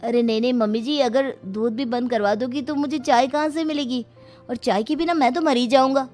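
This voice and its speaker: A woman's voice: sits high, a little fast, darkish tone, natural voice. A woman voicing a lazy, greedy young son-in-law in a cartoon story, whiny and jokey. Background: faint background music.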